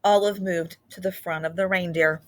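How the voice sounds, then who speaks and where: A woman's voice: on the low side, slight background noise. Woman reading a children's picture book aloud. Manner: animated, drawn-out emphasis on key words. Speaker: read-aloud storyteller.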